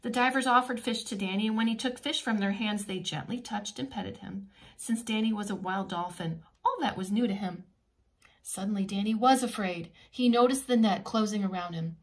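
Expressive woman reading a picture book aloud. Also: medium pitch, moderate pace. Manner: expressive, teacherly, adding simple asides. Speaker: preschool teacher reading aloud.

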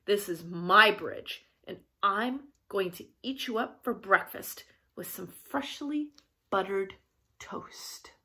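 A woman voicing a big, ugly male troll in a children's story, hungry and bullying, with roaring, drawn-out threats. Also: medium pitch, expressive, bright, forceful tone, voice pushed down, heavily acted. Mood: menacing, gloating. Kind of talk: animated children's storybook reading.

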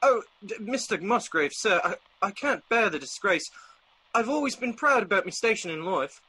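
An actor playing an anxious, proud manservant in a classic radio drama, stammering and pleading. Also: male voice, high-pitched, animated, normal speed, pushed and bright, breathy edge, full character voice. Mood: pleading, ashamed. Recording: faintly noisy old radio recording.

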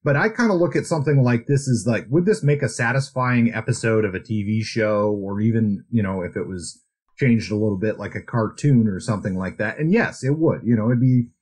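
A man co-hosting a podcast, talking through a casual book discussion in a conversational, measured way. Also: on the low side, darkish tone. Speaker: podcast co-host.

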